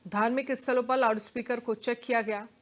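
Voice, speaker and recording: Higher-pitched woman news anchor. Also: steady tone, brisk. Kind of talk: live news presenting and questioning.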